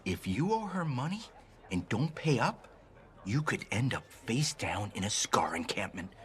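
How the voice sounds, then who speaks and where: A man's voice: on the low side, sing-song, unhurried, a bit bright. A man playing an earnest, over-familiar gossip who talks confidingly in a video game. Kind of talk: video game dialogue acting.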